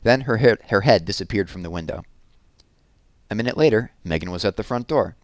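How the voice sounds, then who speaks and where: A man narrates a children's story aloud in a low register. His delivery is highly animated, with pitch that moves around a lot, and the sound is dry and close. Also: brisk, dry recording. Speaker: reader of a children's novel aloud. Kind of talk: expressive read-aloud storytelling.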